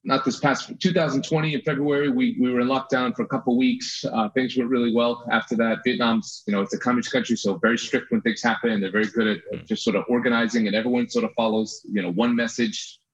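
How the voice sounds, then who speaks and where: An American man in his thirties, a photographer guest telling his story in a relaxed chat with frequent restarts. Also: medium pitch, fast, hardly any pauses, breathy edge. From USA. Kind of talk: casual interview chat. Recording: dull lo-fi audio.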